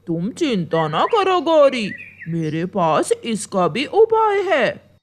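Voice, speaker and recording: A scheming male crow from a children's cartoon, performed by a woman, confident and crafty. The voice is somewhat high and swings up and down, delivered unhurriedly. There is slight noise in the background. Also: wildly swooping pitch, slow, full character voice. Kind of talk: animated children's story dialogue.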